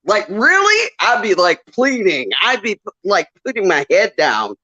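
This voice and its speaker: Slow, animated Southern woman caller. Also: mid-range voice, highs cut off. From Southern United States. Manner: laughs often, emotional and chatty. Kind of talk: casual call-in chat.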